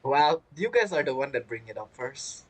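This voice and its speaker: Playful male streamer with animated pitch. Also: normal speed, slightly rough. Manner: playful, giggly, reactive. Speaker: game streamer. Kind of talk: casual live gameplay commentary. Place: indoors at a streaming setup.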